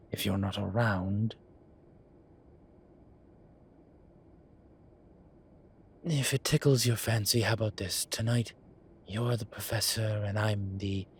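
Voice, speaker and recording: A man playing a flirtatious, cheeky incubus demon in an audio roleplay, talking in a teasing, suggestive way with drawn-out pauses. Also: on the low side, slight background noise, lightly acted. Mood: amused teasing. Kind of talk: audio roleplay acting, one-sided conversation.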